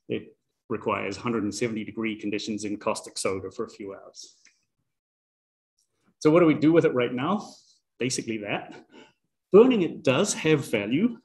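A man in his sixties from New Zealand, with a New Zealand accent, giving a public science lecture with dry, self-deprecating humor. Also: mid-range voice. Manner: self-deprecating, chuckles between dry asides. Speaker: guest lecturer, professor.

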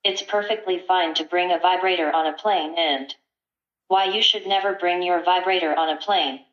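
A woman's voice: lowish voice, normal speed, dull audio, close to an everyday voice. A woman voicing a neutral, helpful virtual assistant machine in an audio drama. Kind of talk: flat virtual assistant reading. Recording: echoey, dulled small-speaker sound.

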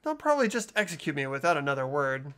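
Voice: mid-range voice, sing-song, fast, fairly bright tone, clear, resonant tone. A performer voicing an earnest, anxious but hopeful teenage boy in a game, with a questioning manner. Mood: puzzled, uneasy. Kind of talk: character voice acting in a game fan dub.

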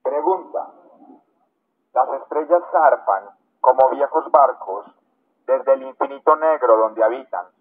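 A man's voice: medium pitch, a little slow, pauses often. Tinny-sounding man reciting poetry. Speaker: poet reading in public. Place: outdoor public park. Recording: thin, band-limited megaphone sound outdoors.

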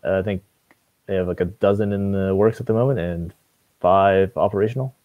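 A young man from Maine, a city council candidate, talking on a video call from a room at home, relaxed and hesitant, with many fillers. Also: deep voice, brisk, dry recording, narrow, tinny audio. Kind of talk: casual video call interview. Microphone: video call webcam.

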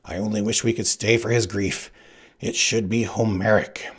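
A man's voice: on the low side, steady tone, normal speed, husky. A man voicing a conceited male kidnapper who makes boastful, menacing threats in a storybook. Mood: gloating. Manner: shrieking, gloating threats.

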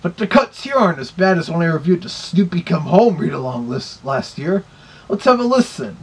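A man's voice: fairly high pitch, very animated, fairly quick, husky. A man giving casual review commentary in a small bedroom, picked up by a camera mic.

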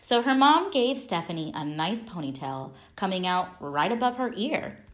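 A woman reading a children's picture book aloud as a storyteller, expressively and deliberately, with dramatic pauses. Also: mid-range voice, sing-song.

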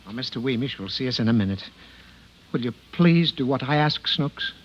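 A man's voice: medium pitch, animated, moderate pace, loud music behind the voice, lightly acted. An actor playing a flustered, exasperated, pleading father in a 1950s radio comedy. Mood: coaxing. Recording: dull, faintly noisy old radio recording.

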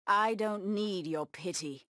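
A woman's voice: mid-range voice, slow pace, bright, forceful tone, no room sound, clean studio sound. An actress voicing a proud, bloodthirsty cat-woman huntress villain in a video game, with menacing, taunting delivery.

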